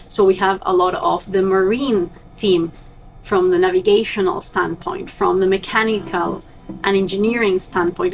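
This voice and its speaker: A woman's voice: medium pitch, rough recording. A young woman from Argentina, a native Spanish speaker, chatting upbeat and direct to her viewers as a cruise ship crew member vlogging. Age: young adult. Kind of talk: casual vlog to camera.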